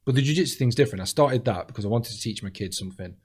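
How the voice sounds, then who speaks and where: A man talking in casual chat as a comedian guest, deadpan and blunt, swearing freely as he tells stories. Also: mid-range voice, very fast, breathy edge.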